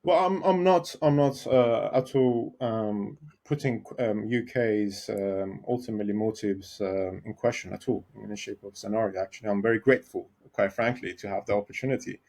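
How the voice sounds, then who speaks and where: A man from Iran, a tech founder, talking through his story in a podcast interview, pausing often with ums and restarts. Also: fairly low pitch, gravelly, darkish tone. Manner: hesitant, many ums and restarts.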